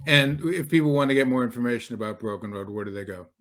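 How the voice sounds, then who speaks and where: A man's voice: mid-range voice, fast, fairly bright tone. A man hosting an interview in a casual, conversational style. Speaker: host.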